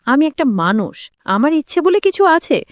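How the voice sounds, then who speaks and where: A woman's voice: sits high, very animated, moderate pace, no room sound, studio quality, pitched above the performer's own voice. A woman storyteller voicing a hurt, neglected young wife in a plaintive, emotional manner. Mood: hurt, pleading.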